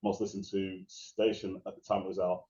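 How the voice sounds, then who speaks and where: Deep-voiced man in an echoey, muffled room. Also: level tone, a little fast, dark tone. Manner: rambling, restarts and laughs while telling stories. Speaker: guest DJ. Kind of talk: casual interview conversation.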